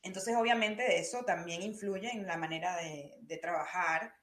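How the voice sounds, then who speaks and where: A woman's voice: fairly low pitch, moderate pace, a bit bright. Venezuelan woman guest on a podcast, youngish, talks in a thoughtful meandering way, lots of ehs and restarts. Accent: Venezuelan Spanish. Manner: thoughtful, hesitant, with many fillers and restarts. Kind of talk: conversational interview.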